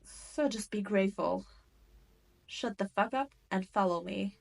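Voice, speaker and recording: Slow, taunting demon queen, acted by a woman. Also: medium pitch, vocal fry, darkish tone. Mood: scolding. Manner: teasing, condescending, drawn-out delivery. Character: cruel, arrogant adult female demon queen, mocking and possessive. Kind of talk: scripted roleplay audio drama, speaking to the listener.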